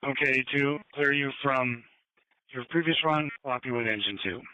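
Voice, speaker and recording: A man's voice: medium pitch, normal speed, pushed and bright. A man dispatching fire engines in clipped phrases over radio. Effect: fire radio transmission. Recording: dull band-limited scanner radio audio.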